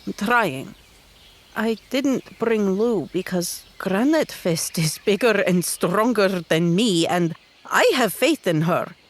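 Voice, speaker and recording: A woman's voice: medium pitch, expressive, slow. A woman playing a determined, fiercely loyal young female adventurer in tabletop roleplay, speaking earnestly and emphatically. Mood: resolute, loyal.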